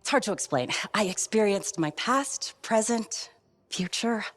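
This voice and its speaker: A woman voicing a reflective, gentle cartographer just freed after ten years, in a video game. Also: medium pitch, lively intonation, measured pace.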